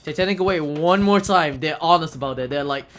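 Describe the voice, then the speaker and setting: A man's voice: on the high side, brisk. A man giving casual, slightly hesitant live commentary as he plays through a video game speedrun. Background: video game music.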